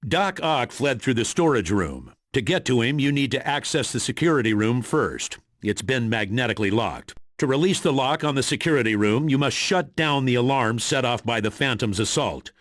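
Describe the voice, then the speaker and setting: Man acting a commanding mission chief, animated pitch. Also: no echo, clean studio sound, close to an everyday voice. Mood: businesslike. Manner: authoritative, measured, formal. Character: serious, commanding male agency chief briefing heroes. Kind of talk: video game voice acting.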